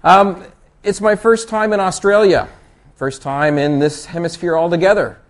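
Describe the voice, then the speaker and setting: A man's voice: high-pitched, steady tone, normal speed. A man with a Canadian accent from the East Coast giving a chatty, joking conference talk full of rambling, self-deprecating asides. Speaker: conference presenter.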